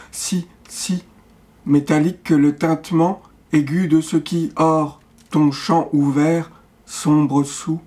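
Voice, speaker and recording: Slow, soft-toned man reading poetry. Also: fairly high pitch. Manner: measured delivery in short fragmented phrases with pauses. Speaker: poet.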